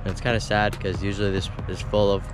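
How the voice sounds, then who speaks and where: A man's voice: on the low side, monotone, fast talker. A young man chatting casually as he fishes, rambling and correcting himself as he talks into an action camera outdoors by a windy pond. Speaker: fishing vlogger. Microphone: action camera, head-mounted and handheld.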